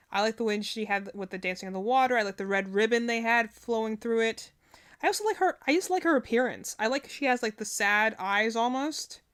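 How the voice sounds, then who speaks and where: A woman's voice: a little high, fairly bright tone, smooth. A woman giving casual reaction commentary as a streamer, rambling and often searching for her words. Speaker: streamer reacting to game character trailers.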